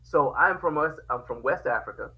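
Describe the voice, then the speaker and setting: Man from liberia, bright tone, casual storytelling, animated. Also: a little high, moderate pace, slight background noise, poor audio. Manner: animated, laughs often, quotes dialogue. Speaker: podcast guest.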